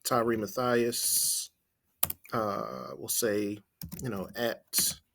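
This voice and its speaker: Man giving an informal software walkthrough. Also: fairly low pitch, big pitch swings, very slow, gravelly, a little hiss or hum. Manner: step-by-step, explanatory, thinking aloud while typing. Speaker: marketing trainer. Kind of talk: informal screen-share software tutorial.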